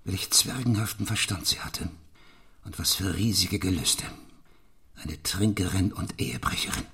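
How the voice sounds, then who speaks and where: Radio play role: brooding, embittered gentleman of middle years, deep-set voice, intense confessional delivery. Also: vocal fry. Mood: bitter, agitated. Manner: deliberate, intense storytelling. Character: bitter, brooding English gentleman confessing his past. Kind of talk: German radio play acting, dramatic monologue.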